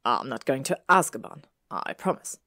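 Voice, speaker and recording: A woman's voice: sits low, expressive, normal speed. A woman playing an aloof, proud teenage boy wizard in a story, speaking in a curt, clipped way. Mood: strained, resigned.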